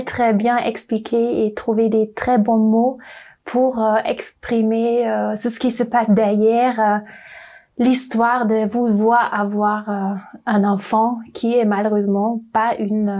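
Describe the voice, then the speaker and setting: A woman's voice: a little high, little pitch movement, takes it slowly, warm tone. A young woman doctor speaking as an interview guest in a language that is not her first, hesitant and often searching for words. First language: not French. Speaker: doctor at a clinic.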